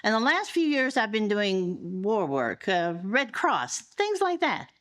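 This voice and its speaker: A woman's voice: medium pitch, sing-song, a little slow, a bit bright. A woman playing a blunt, indignant and easily flustered American murder suspect in a live audio drama. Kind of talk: live radio-style audio drama acting. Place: live stage performance in a public library.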